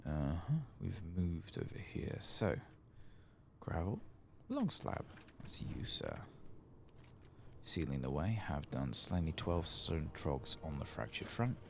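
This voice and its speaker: A soft-spoken man who often drops into a whisper, muttering casually to himself as he commentates on a video game in an ASMR style. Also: rumbling low, wildly swooping pitch, slow, gravelly, slight background noise. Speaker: host playing a video game.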